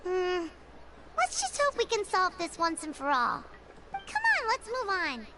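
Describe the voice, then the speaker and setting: A squeaky, bubbly little fairy companion. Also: female voice, wildly swooping pitch, unhurried, very noisy, full character voice. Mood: excited. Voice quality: high, squeaky. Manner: bubbly, refers to herself by name. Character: tiny floating female fairy-like companion, chirpy and excitable. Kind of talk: video game voice acting. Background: game music.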